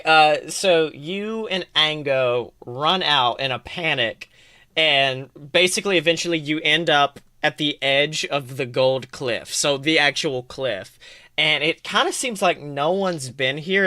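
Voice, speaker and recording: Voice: medium pitch, bright, forceful tone, dry recording, clean studio sound. A game master narrating a tabletop adventure in an informal, improvised way, swearing casually. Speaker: game master and podcast host.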